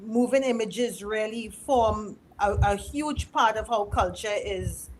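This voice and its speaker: A woman's voice: mid-range voice, slow pace, a little hiss or hum, poor audio. A woman, a guest in an interview, speaking earnestly and reflectively, often repeating words as she builds a point. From Caribbean. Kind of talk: conversational interview.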